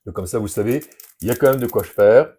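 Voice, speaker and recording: Chatty man, dark low voice. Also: fast talker, husky. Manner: jokey, chatty, rambling with fillers. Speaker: shop co-host opening card packs. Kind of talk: casual unboxing chat.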